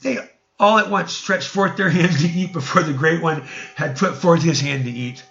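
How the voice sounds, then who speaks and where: A man's voice: a little high, normal speed, vocal fry, a bit bright, pitched above the performer's own voice. A man voicing an indignant, righteous son declaiming against idols in a scripture reading, in a lo-fi recording. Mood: feigned innocence. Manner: declamatory, exhorting. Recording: dull, lo-fi sound.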